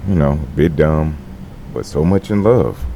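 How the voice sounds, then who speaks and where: A man acting a gruff but tender veteran with a gravelly voice in an audio drama, speaking gently and haltingly. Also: rumbling low, animated, heavily acted, poor audio. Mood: somber, halting. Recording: faint background noise.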